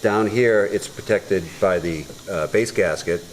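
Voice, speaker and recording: A man's voice: lowish voice, measured pace, heavy background noise. A man with a Massachusetts accent, a guest mechanic chatting casually while explaining engine parts in a workshop. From Massachusetts, USA. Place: motorcycle workshop.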